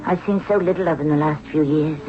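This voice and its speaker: A woman's voice: deep voice, a little fast, lightly acted. An actress playing a proud, inquisitive young noblewoman of ancient Egypt, theatrical and probing in the manner of 1970s radio drama. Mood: wistful. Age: young adult. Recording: muffled old radio recording with music.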